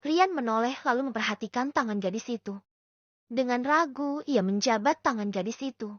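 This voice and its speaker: A woman's voice: fairly high pitch, moderate pace, dry recording. A woman reading a scripted story as an audiobook narrator. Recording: dull lo-fi dry recording.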